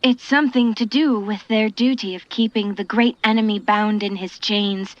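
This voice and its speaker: A woman's voice: medium pitch, steady tone, moderate pace, no echo, close to an everyday voice. A woman playing a good-natured, teasing young woman in a fantasy audio drama. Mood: earnest. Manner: teasing, conversational. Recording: dull, dry close recording.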